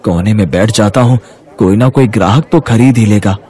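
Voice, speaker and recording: A man's voice: on the low side, moderate pace, darkish tone, some chatter behind, close to an everyday voice. A man playing a worried, humble, poor village toy-seller down on his luck in a moral-story cartoon. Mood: uneasy.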